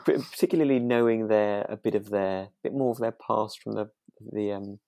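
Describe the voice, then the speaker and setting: A woman co-hosting a relaxed podcast chat, speaking in a tentative, conversational way. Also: extremely low pitch, normal speed, no room sound. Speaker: podcast co-host. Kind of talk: relaxed chat discussing a radio drama.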